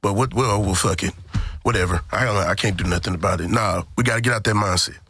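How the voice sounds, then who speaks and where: A man's voice: lowish voice, quick pace, bright, forceful tone, husky, no echo, clean studio sound. A man co-hosting a casual podcast discussion, emphatic and slangy, circling back to repeat his points. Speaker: podcast co-host.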